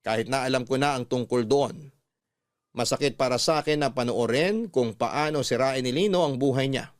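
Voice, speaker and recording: A man with a Filipino accent narrating a scripted first-person story for a radio drama in an earnest, measured way with dramatic pauses. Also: mid-range voice, no echo, studio quality.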